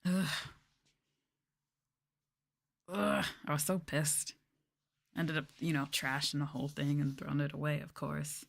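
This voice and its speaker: A woman streamer chatting casually in a soft-spoken ASMR style, rambling and swearing as she goes. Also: sits low, fairly even intonation, a little slow, gravelly, pauses often. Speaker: VTuber streamer.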